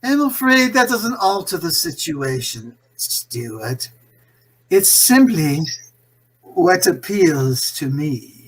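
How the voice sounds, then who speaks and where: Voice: mid-range voice, sing-song, slow, slightly rough, full character voice, poor audio. An actor playing a condescending, scheming imprisoned crime boss in a 1940s-style radio play, suave and gloating in manner. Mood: gleeful, commanding.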